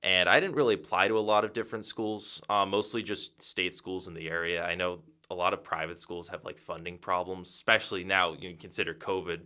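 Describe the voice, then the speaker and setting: Young male sports broadcaster from Massachusetts, very low, bright and crisp voice, measured answers. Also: fairly even intonation. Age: 20s. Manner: measured and thoughtful, frequent "um" and "you know". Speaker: radio play-by-play broadcaster. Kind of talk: interview answers.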